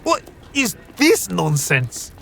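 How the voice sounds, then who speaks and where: An actor playing a seasoned, wry gambler mentor in a fantasy audio drama, colloquial and instructive. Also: male voice, high voice, huge pitch swings, slow pace, gravelly, pushed and bright, exaggerated voice. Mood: amused.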